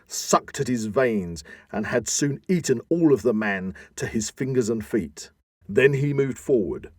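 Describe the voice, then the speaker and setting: A man giving a dramatic reading of epic poetry in a theatrical, deliberate manner with emphatic swells. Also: mid-range voice, darkish tone, slightly rough, no echo, studio quality. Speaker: podcast host.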